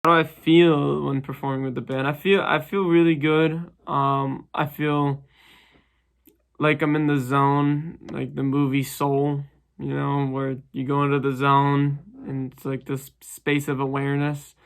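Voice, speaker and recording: A man in his twenties, a band member and graduate student, speaking calmly and reflectively in an interview. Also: mid-range voice, a little slow, creaky, smooth. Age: 20s. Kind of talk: documentary interview.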